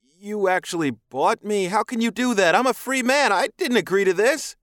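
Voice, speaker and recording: A man voicing a frightened, indignant captive human man with a squeaky, gasping, vehement delivery in an audiobook story. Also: animated, moderate pace, a bit bright, no echo, studio quality. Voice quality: high-pitched, squeaky, gasping. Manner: incredulous, vehement.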